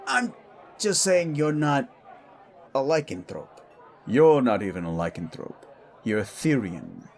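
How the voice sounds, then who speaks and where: A man's voice: mid-range voice, wildly swooping pitch, a little slow, pauses often, darkish tone, a faint crowd. A man voicing a scrawny, defensive werewolf bully who stammers, blusters and swears in an audio drama. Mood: smug, awkward. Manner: flustered stammering, blustering, foul-mouthed. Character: Fenris, scrawny hot-headed werewolf bully, male, defensive. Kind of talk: scripted audio drama dialogue.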